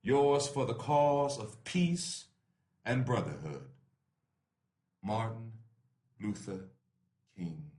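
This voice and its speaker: Man, solemn letter reading, oratorical, measured phrasing, deliberate pauses, echoey room. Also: fairly low pitch, a little mellow.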